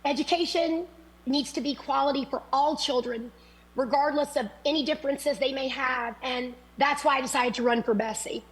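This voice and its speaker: Candidate, woman from Louisiana, sick, high voice, earnest and rambling, on a video call. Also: moderate pace, poor audio. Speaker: political candidate. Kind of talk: forum answers.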